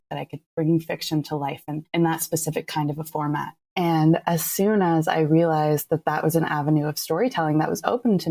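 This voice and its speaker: Woman, low voice, conversational, interview guest, writer talking about herself. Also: mellow. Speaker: podcast guest, writer and writing instructor.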